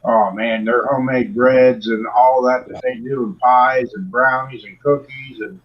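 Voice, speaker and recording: A man's voice: medium pitch, fairly even intonation, a little slow, muffled recording. A man co-hosting a live chat who talks in an easygoing, rambling way. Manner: easygoing, talkative. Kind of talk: casual chat.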